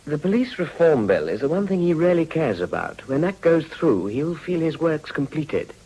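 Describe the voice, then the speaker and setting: An actor playing a suave, affectionate husband and earnest politician in a period radio drama, courteous and assured in a genteel way. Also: male voice, on the high side, sing-song, normal speed, dark tone, slight background noise, low-quality recording. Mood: grave, confiding.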